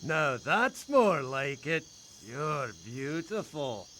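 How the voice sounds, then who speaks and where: A man's voice: a little high, sing-song, very slow, bright, forceful tone, slight background noise, heavily acted. A man playing a confident, good-hearted fairy-tale hero in a game, earnest and theatrical. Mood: tender.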